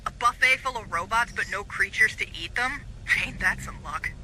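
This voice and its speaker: A man's voice: high-pitched, normal speed, pushed and bright, a little hiss or hum, rough recording. A male actor playing a cocky, needling, sardonic space marine corporal in a video game. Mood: mocking, amused. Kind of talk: video game cutscene dialogue.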